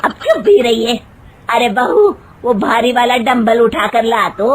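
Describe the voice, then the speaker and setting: A woman's voice: a little high, slow. An actress voicing a boastful, star-struck mother-in-law in a comic cartoon story, with a melodramatic, emphatic delivery. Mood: stubborn, bragging. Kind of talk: Hindi comic moral-story cartoon dub.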